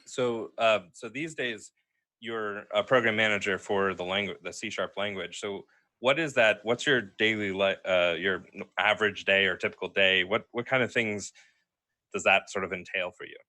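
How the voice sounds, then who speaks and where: Low, bright-toned male co-host, casual asking, dry close recording. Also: normal speed, dry recording. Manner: casual, filler-laden questions. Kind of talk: podcast interview.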